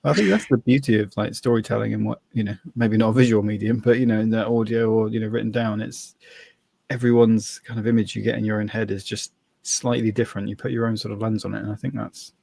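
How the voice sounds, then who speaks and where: A man's voice: lowish voice, level tone, fast, creaky, mellow, breathy edge, no echo. A man co-hosting a podcast, easygoing and musing as he talks. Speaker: podcast co-host. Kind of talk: casual podcast conversation.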